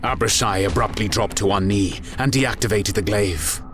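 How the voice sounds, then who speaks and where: A man narrating a scripted story in a grave, measured, dramatic manner. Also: on the low side. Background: music.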